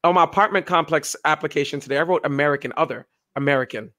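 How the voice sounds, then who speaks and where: A young man co-hosting a casual political chat, talking in an animated way and acting out the people he quotes. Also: quick pace. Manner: animated, acts out quoted voices with pitch jumps. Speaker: podcast co-host.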